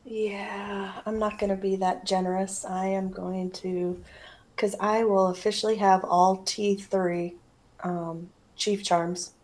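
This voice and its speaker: Slow-talking woman co-hosting a stream. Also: medium pitch, flat delivery, darkish tone. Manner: unhurried, matter-of-fact, laughs easily. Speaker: co-host. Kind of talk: casual stream chat.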